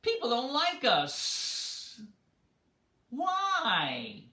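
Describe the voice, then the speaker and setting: A hurt, wistful storybook snake, acted out by a man, whines in a high, drawn-out plaintive voice. It longs to be liked, and the sound echoes in the room. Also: huge pitch swings, sharp tone, heavily acted. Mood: plaintive wail. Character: hurt, wistful snakes longing for human friendship.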